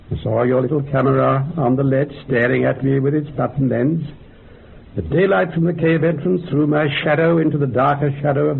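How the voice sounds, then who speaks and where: An actor playing an older, self-effacing male researcher in a radio drama, recounting things in a measured, reflective way with a gravelly, husky voice. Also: medium pitch. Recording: dull, muffled radio drama recording.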